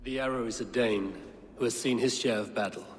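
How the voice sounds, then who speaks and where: A man's voice: mid-range voice, steady tone, fairly quick, a little hiss or hum, natural voice. Grim Viking warrior in a reverberant space, acted by a man. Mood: grim, determined. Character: grim, resolute male Viking warrior hunting a secret order. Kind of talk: dramatic video game cutscene acting.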